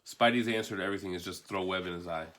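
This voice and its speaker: A man's voice: low-pitched, rapid-fire, fairly bright tone. A man giving an offhand, rambling, casual video review as a comic book collector, unscripted and mildly profane.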